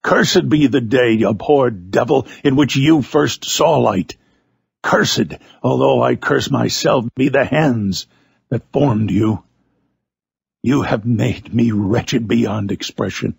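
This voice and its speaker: Man playing a raspy, furious Gothic scientist. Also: medium pitch, slow, no room sound, clean studio sound, full character voice. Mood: horrified, cursing. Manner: vehement, theatrical, archaic. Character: horrified, furious male scientist cursing his creation. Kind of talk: dramatic reading of a Gothic novel.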